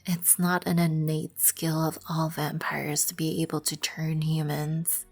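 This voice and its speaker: A woman playing a proud, composed vampire queen in an ASMR roleplay, with an imperious, formal manner and a breathy, husky voice. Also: sits low, flat delivery, measured pace, darkish tone, heavily acted. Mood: matter-of-fact. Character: regal, composed, proud vampire queen, protective of her colony. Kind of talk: ASMR roleplay, scripted second-person acting. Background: background music.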